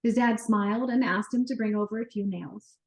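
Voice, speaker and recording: A woman narrating a children's book as an expressive, animated read-aloud storyteller. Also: mid-range voice, brisk.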